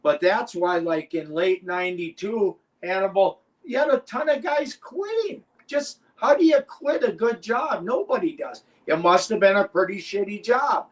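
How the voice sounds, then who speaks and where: A man's voice: high voice, lively intonation, moderate pace, hardly any pauses. An older man and former wrestler, telling rambling, profane stories as an interview guest. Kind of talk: casual interview chat.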